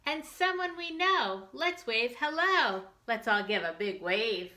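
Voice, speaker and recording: A woman's voice: a little high, very animated, measured pace, sharp tone. A woman hosting a storytime for young children, reading aloud in simple phrases and putting questions and asides to her little listeners. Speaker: children's librarian storytime host.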